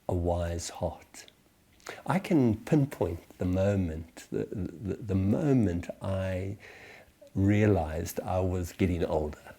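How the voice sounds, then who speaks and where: A low, mellow male voice in his sixties with a South African accent. He teaches reflectively, choosing words deliberately and leaving hesitant pauses as he goes. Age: 60s. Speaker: church teacher leading a series. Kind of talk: reflective spoken teaching for small groups.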